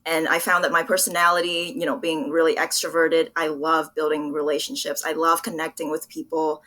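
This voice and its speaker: A woman's voice: low-pitched, flat delivery, a little fast, bright, forceful tone. A young woman from Vietnam answering interview questions in an earnest, articulate, conversational way. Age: young adult. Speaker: interview guest, public relations professional.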